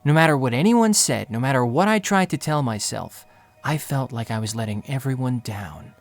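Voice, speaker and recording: An actor playing a poor, anxious young man who narrates his own story in a quiet, melancholy, self-critical way. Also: medium pitch, expressive, moderate pace, no echo, natural voice. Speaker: narrator and lead character. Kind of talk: first-person audio drama narration.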